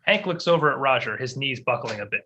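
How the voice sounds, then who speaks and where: A man's voice: mid-range voice, expressive, fast, bright, forceful tone. A man reading scene headings and stage directions as scripted narration for a table read.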